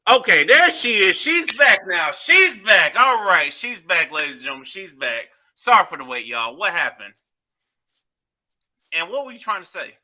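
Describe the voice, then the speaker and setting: A man's voice: sits high, big pitch swings, normal speed, bright, forceful tone. A man hosting a podcast, talking loosely in casual chat and swearing freely. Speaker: podcast host.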